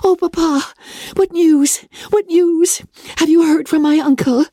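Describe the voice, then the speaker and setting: High, urgent young gentlewoman, acted by a woman reader. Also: fairly even intonation, unhurried, dry recording, clean studio sound. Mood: breathless, eager. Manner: urgent, pleading questioning. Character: breathless, eager, impatient young gentlewoman daughter.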